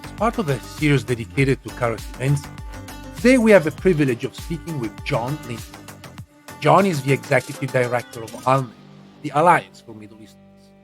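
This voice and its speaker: A man hosting a podcast, reading a scripted introduction with deliberate, dramatic pacing, then asking the guest questions. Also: medium pitch. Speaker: podcast host.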